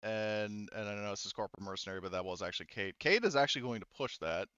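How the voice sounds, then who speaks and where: A man's voice: fairly low pitch, animated, quick pace, dull audio, heavy background noise. A man acting as game master in a tabletop roleplaying game, thinking aloud through rules and dice math.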